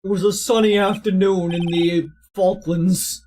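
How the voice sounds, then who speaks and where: Someone putting on a simple, earnest convict man with halting speech. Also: mid-range voice, level tone, a little mellow, a little hiss or hum, natural voice. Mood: wistful. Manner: halting broken English.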